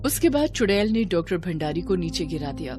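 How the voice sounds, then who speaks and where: A woman's voice: fairly low pitch, sing-song, brisk, over loud music. A woman storyteller reading scripted horror narration.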